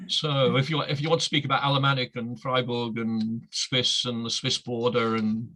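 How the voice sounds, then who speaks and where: Man, bright forceful voice, video call, casual, self-deprecating, stumbling restarts. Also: medium pitch, little pitch movement, moderate pace, poor audio. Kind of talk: online panel discussion.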